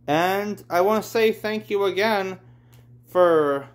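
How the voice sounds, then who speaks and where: Slow-talking man with a higher-pitched voice. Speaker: host reading his own manga aloud. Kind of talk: casual read-along with commentary.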